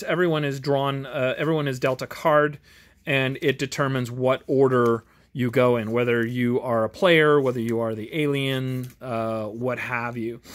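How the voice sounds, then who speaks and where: Man talking haltingly, casual and unscripted. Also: medium pitch, fairly bright tone. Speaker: tabletop game reviewer and game master. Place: home garage.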